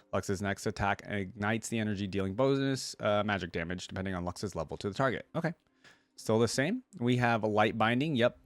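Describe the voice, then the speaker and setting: A man's voice: fairly low pitch, dry recording. A man hosting a game reaction video with casual, laid-back, chatty commentary, thinking aloud as he goes.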